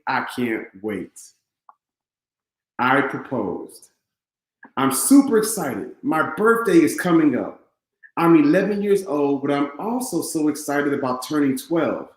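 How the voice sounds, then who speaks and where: Storybook role: man as affectionate eleven-year-old mama's boy, earnest and animated, roomy echo. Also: on the high side. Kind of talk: read-aloud children's storybook.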